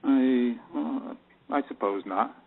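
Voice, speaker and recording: A man acts a nervous boss in an audio play. The voice is creaky and mid-pitched, halting and evasive. It comes through a thin, narrow-band recording. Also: a little hiss or hum. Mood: flustered, evasive. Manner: hesitant, stammering. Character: flustered married bank boss, nervous and evasive. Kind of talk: audio drama acting.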